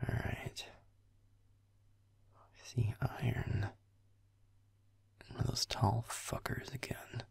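A man playing a grumpy, sarcastic werewolf boyfriend in an audio roleplay, muttering dry game commentary in a husky, whispery voice. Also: extremely slow, long pauses. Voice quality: husky, gravelly, whispery low voice.

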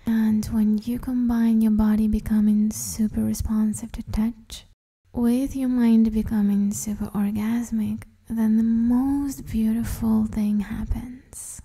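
A woman guiding a meditation in a soft, breathy half-whisper, soothing and gently instructing the listener. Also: fairly high pitch, little pitch movement, slow. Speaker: meditation guide, host. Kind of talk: guided meditation, hypnosis-like.

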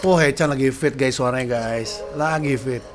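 A man in his thirties giving casual, excitable reaction commentary as a sound engineer, full of slang exclamations, at home in a small room. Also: medium pitch, moderate pace. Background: faint music playing.

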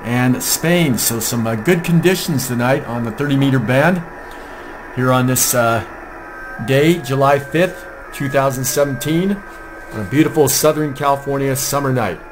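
A man's voice: medium pitch, moderate pace. A man with an American accent giving an informal hands-on demonstration as a video host. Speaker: amateur radio video host. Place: indoor home radio desk. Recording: clear background radio static and signal noise. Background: shortwave receiver noise and signals.

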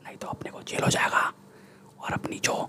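A young man playing a swaggering, bullying Mumbai gangster in a breathy ASMR whisper, with slangy, boastful Mumbai Hindi speech, close to the microphone. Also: brisk, close to an everyday voice. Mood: scheming. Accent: Mumbai Hindi street slang. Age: young adult. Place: small room indoors. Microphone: close desktop condenser microphone.

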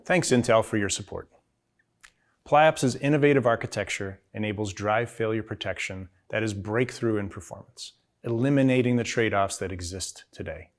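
A man's voice: lowish voice, normal speed. A middle-aged man presenting a scripted keynote with a polished, promotional delivery. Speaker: company executive presenting a keynote.

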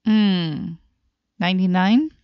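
A woman's voice: fairly low pitch, slow, clean tone, dry recording. A woman co-hosting a casual chat, giving short, hesitant answers to trivia questions. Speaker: podcast co-host answering trivia. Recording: slightly dull, lo-fi sound.

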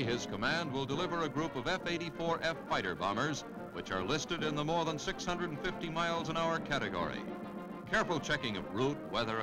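A man's voice: medium pitch, poor audio. A man with an American accent reading scripted 1950s newsreel narration in a polished announcer delivery. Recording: 1950s newsreel soundtrack, music behind.

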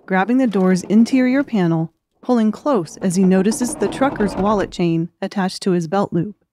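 A woman narrates a story in a scripted audiobook style. Her voice sits at a middle pitch. The recording is dry, with no room sound. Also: mellow, no room sound.

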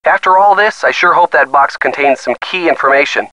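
A man's voice: fairly high pitch, fairly quick, sharp tone, no echo, poor audio. A man acting an earnest young boyfriend in a video game, giving encouraging hints with folksy turns of phrase. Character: earnest young boyfriend coaching a teen detective by phone. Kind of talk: scripted video game hint lines.

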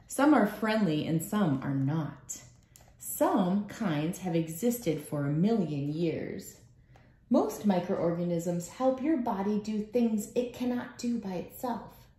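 A woman in her thirties reading a children's book aloud at home in a measured storytelling way. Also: on the low side, warm tone. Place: small room at home, indoors. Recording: echoey room.

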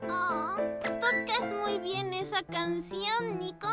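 A woman voicing an eager, sweet little pug puppy girl in a children's cartoon, polite and excitable. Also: extremely high pitch, slow pace, exaggerated voice. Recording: music behind the voice.

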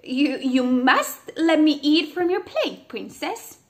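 A woman's voice: sits high, sing-song, slow, pitched above the performer's own voice, heavily acted. A woman doing a polite, coaxing talking frog.

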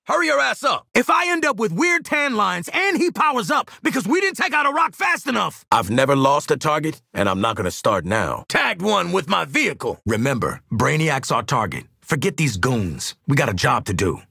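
An actor playing a tough, cocky American hitman in a video game, with a gravelly, husky voice and a clipped, sardonic, commanding delivery. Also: mid-range voice, wildly swooping pitch, normal speed, pushed and bright, no echo, clean studio sound, exaggerated voice. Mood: urgent, shouting. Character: tough, cocky, no-nonsense hitman and squad soldier. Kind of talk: video game combat barks and banter.

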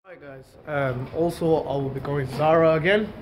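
A man's voice: fairly high pitch, fairly even intonation, normal speed, slight background noise, poor audio. A young man in his twenties from Hong Kong, talking casually to a handheld camera at arm's length and repeating words as he greets his viewers. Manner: chatty, repeats words, addresses viewers as guys. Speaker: vlogger. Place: small room indoors, then outdoor walkway.

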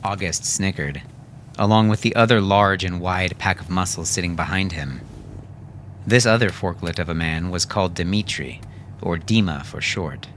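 A man with a low voice narrates a story in a scripted audiobook style. There is clear noise behind him. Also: normal speed, a bit bright.

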